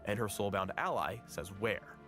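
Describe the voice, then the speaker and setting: A man's voice: fairly low pitch, lively intonation, normal speed. A man reading scripted narration for a game guide in a polished, instructional manner with light quips. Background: background music.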